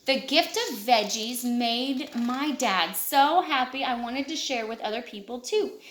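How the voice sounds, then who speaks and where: A woman reading a children's picture book aloud with an expressive, lilting delivery. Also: on the high side, unhurried. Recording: echoey room.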